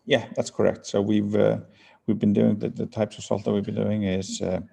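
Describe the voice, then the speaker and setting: A man from Iceland answering interview questions as a company founder, speaking haltingly with many fillers and restarts. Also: on the low side, level tone, gravelly, warm tone, breathy edge.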